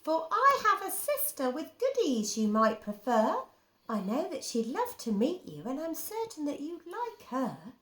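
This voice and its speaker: A man's voice: very high voice, wildly swooping pitch, unhurried, pushed and bright, heavily acted. A young boy voicing a plucky, crafty female duck in a storybook read aloud. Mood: persuading. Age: child. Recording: echoey room.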